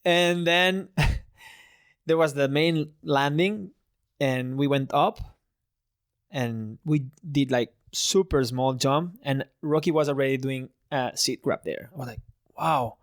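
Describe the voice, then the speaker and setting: A man's voice: fairly high pitch, dry recording, clean studio sound. A man in his thirties from Spain, an English speaker, telling stories in a casual interview with many restarts. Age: 30s. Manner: chatty storytelling with many restarts and laughs. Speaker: freestyle motocross rider, guest.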